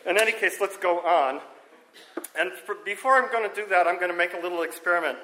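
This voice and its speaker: A man's voice: high voice, quick pace, sharp tone, reverberant, poor audio. Man lecturing at a conference. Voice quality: strong speaking voice. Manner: informal, self-correcting, frequent "okay?" checks. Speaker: conference speaker. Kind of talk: technical lecture on hearing and audio. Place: lecture room with audience. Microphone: handheld or stand microphone.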